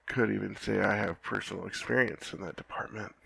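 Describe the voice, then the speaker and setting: A young man playing a lovesick, flustered knight in an ASMR roleplay, talking softly and haltingly with frequent stammers. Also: fairly low pitch, fairly even intonation, gravelly, husky, heavily acted. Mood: tender, teasing. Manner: halting, stammering, soft-spoken.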